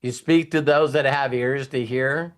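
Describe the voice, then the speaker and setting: A man's voice: mid-range voice, fairly even intonation, unhurried. A man hosting a casual motivational talk in a rambling, folksy, anecdotal way. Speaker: host of a motivational team talk.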